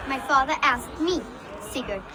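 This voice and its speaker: A woman's voice: high voice, expressive, a little slow, poor audio. A female performer playing an earnest, dutiful and polite young child in a Viking game. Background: music and crowd.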